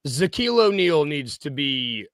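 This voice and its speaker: A man co-hosts a sports talk show in a slightly raised, bright voice. He stumbles over repeated words as he builds his point. The sound is dry and close, with no room echo. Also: fairly high pitch, big pitch swings, a little slow. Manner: stammering restarts, enthusiastic agreement. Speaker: podcast co-host.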